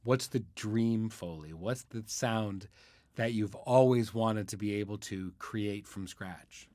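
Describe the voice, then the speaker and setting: A man's voice: fairly low pitch, takes it slowly, warm tone, dry recording. A man hosting a casual podcast interview, informal and joking in the way he talks.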